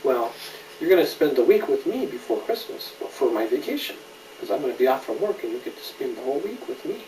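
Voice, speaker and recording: A middle-aged man reading a children's story aloud in an animated way, with conversational questions to a young listener. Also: mid-range voice, fairly quick. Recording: roomy, distant home recording with noise.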